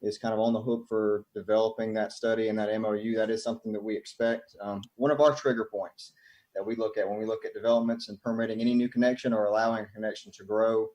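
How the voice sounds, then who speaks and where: Male government official, lowish dark tone, measured bureaucratic answers given unscripted. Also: flat delivery, poor audio. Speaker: transportation department official. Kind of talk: off-the-cuff technical explanation.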